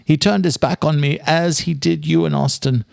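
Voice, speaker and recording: Man playing a gravelly, grandiose, obsessive killer. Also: on the high side, fairly even intonation, moderate pace, darkish tone, no echo, clean studio sound. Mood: grandiose, resentful. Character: obsessive, grandiose immortal male killer reading his love letter. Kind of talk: dramatic audiobook villain monologue.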